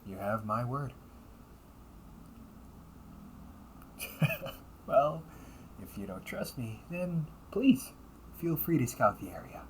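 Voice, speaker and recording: Man playing a smug villainous older brother, animated delivery. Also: medium pitch, measured pace, a little hiss or hum, lightly acted. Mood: smooth, mocking. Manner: smug, taunting, theatrical, often drops to breathy near-whispers. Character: calm, cunning, condescending villain, the listener's older brother. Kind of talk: audio roleplay monologue addressed to the listener.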